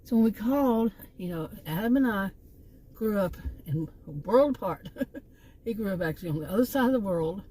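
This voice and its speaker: Exasperated Texan woman with a mellow voice. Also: medium pitch, lively intonation, moderate pace, slightly rough, rough recording. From Texas. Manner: exasperated, emphatic, rambling with asides. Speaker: vlogger. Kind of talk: casual storytelling rant. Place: in a car by a park.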